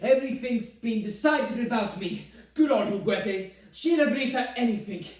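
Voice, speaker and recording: Man acting indignant mother, high and echoey. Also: expressive, normal speed. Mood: wounded, accusing. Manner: sharp, wounded, accusatory. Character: indignant, self-pitying older mother of the groom. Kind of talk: stage monologue acting. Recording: echoey room, distant microphone.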